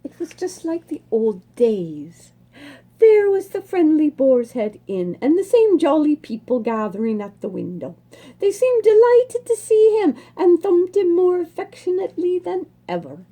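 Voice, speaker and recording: A woman reading a children's storybook aloud expressively, savoring words with dramatic emphasis and pauses. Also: very high-pitched, very animated, warm tone.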